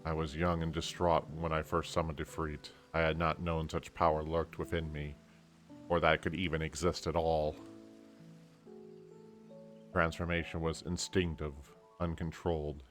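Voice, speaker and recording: A man voicing a brooding young warrior in an amateur game dub, reading slowly and solemnly. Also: a bass voice, level tone, fairly bright tone, soft background music. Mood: somber. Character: brooding young male warrior, guilt-ridden and grave.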